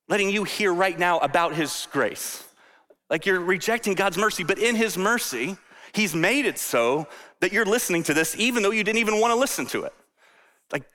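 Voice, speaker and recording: A man preaching a sermon to a congregation, conversational and animated, with asides and rhetorical questions. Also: high voice, in a large hall. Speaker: pastor.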